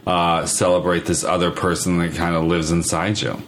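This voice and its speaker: A middle-aged man co-hosting a podcast, chatting casually in an animated, campy way. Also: deep voice, normal speed. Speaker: podcast co-host. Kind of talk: casual conversational chat. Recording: clear background noise, room ambience.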